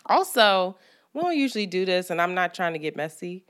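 Lowish woman podcast cohost, chatty, swears a lot, acts out what people said. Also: a little fast. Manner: animated, swears freely, acts out quotes. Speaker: podcast co-host. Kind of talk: casual chat.

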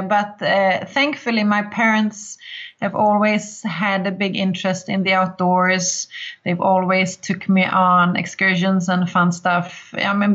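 A woman in her forties from Sweden with a Swedish accent, a podcast guest talking casually, pausing often with "uh" and laughing easily. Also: mid-range voice, fairly bright tone, dull audio. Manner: thoughtful, many "uh" pauses, laughs easily. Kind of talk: casual interview talk.

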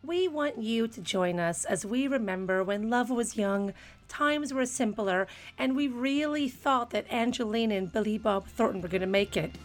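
A woman's voice: fairly high pitch, moderate pace, faint music behind. A woman co-hosting a podcast, teasing and laughing, giving blunt opinions in casual chat. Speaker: podcast co-host.

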